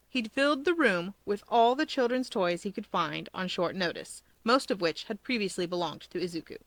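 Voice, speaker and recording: A woman's voice: medium pitch, fairly bright tone, no room sound, rough recording. A woman reading scripted fiction as a story narrator.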